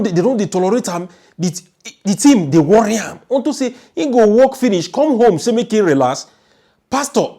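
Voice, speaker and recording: Man, Nigerian accent, high-pitched, swooping intonation, informal news commentary, emphatic repetition. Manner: animated, emphatic, repeats phrases.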